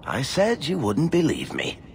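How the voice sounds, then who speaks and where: An actor playing a sly, evasive storyteller under questioning in a video game, with theatrical delivery. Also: male voice, on the high side, wildly swooping pitch, a little slow, a little hiss or hum, full character voice. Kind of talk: dramatic video game acting.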